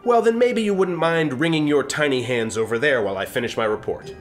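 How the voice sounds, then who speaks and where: A man's voice: a little high, a little fast, faint music behind, natural voice. A man playing a pompous, self-centred, sarcastic starship captain in a comedic sci-fi radio play, speaking in a haughty, theatrical way. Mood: disdainful.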